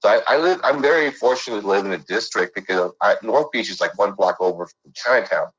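A man's voice: lowish voice, lively intonation, fast, a bit bright, husky, highs cut off. A middle-aged man from San Francisco, talking casually as an interview guest and rambling with lots of fillers and restarts. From San Francisco, United States.

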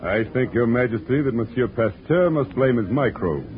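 An actor playing a smug, disdainful royal court physician in a 1940s radio drama, with a pompous, formal delivery. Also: male voice, mid-range voice, expressive, moderate pace, close to an everyday voice. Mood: scornful. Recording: tinny old radio recording.

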